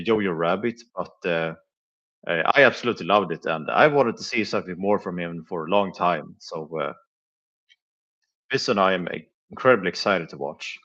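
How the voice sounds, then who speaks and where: A man with a deep, low-pitched voice talks casually to viewers on a live stream. He speaks haltingly, filling gaps with small sounds and quiet chuckles. The recording sounds dull and slightly muffled. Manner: hesitant, many filler sounds, chuckles often. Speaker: live streamer reviewing flea market finds.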